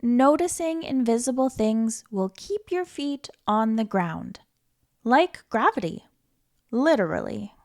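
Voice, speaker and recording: Slow, lively woman reading a picture book. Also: on the high side. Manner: gentle, playful, reassuring, with giggles. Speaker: children's librarian reading aloud. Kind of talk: read-aloud storytime.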